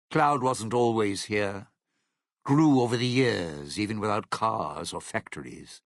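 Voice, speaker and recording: An actor playing a cynical, self-serving ghoul lounge singer in a video game, with a gravelly, husky rasp and sardonic, theatrical, clipped phrasing. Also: male voice, medium pitch, no echo, studio quality, heavily acted. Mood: sardonic, impatient. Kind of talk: video game character dialogue.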